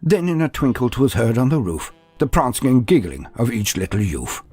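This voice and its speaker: A man's voice: medium pitch, very animated, normal speed, a little mellow, breathy edge, dry recording, faint music behind. A man delivering dramatic scripted narration of fantasy lore with a theatrical storytelling manner.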